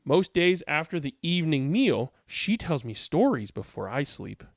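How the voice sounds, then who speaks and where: A man's voice: a little high, big pitch swings, normal speed, vocal fry, no echo, clean studio sound. A man hosting a read-aloud, reading picture books expressively and commenting informally. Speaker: read-aloud host and storyteller.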